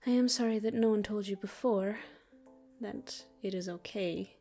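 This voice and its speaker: Woman acting as a gentle female demon, mellow dark tone, soothing and intimate, protective, lightly teasing, partly whispered, ASMR roleplay, music behind. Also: mid-range voice, normal speed, lightly acted. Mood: tender, teasing.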